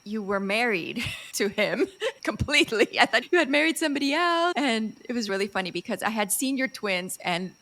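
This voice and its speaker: A middle-aged woman from Chile hosting a podcast, chatting casually, bubbly and quick to laugh as she tells stories. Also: on the high side. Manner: bubbly, laughs often, animated storytelling. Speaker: podcast host. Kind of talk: casual interview chat.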